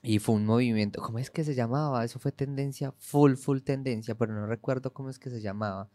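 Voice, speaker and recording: A man with a mid-range voice talks loosely on a podcast, often pausing on fillers as he works through his points. The recording is dry and close, with no echo. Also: mid-range voice, a little mellow. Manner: chatty, filler-laden. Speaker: podcast co-host. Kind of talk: casual conversation.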